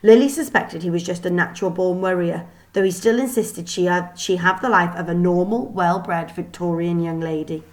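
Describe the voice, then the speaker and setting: A woman reading a children's novel aloud as expressive scripted narration. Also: lowish voice, normal speed, hardly any pauses. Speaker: storybook reader.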